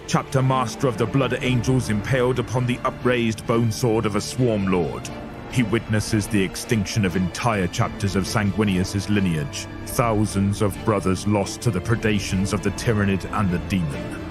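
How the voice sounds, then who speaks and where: A man's voice: on the low side, moderate pace. A man narrating a scripted audio story in a dramatic style. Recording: clear music bed under voice. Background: dramatic music.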